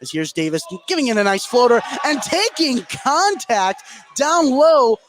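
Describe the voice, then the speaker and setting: A high-voiced male sports commentator calls a basketball game as it happens. He is excitable and leans hard into the big moments. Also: unhurried. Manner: excitable, emphatic on big plays. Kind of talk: live basketball play-by-play commentary.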